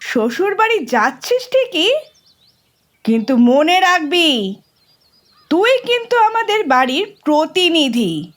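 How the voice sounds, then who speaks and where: A woman's voice: very high-pitched, sing-song, slow pace, long pauses, a bit bright, full character voice. A woman playing a stern, bossy mother who lectures her grown son emphatically, with a Bengali accent, in a comedy audio drama. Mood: stern, warning. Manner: scolding, emphatic warnings.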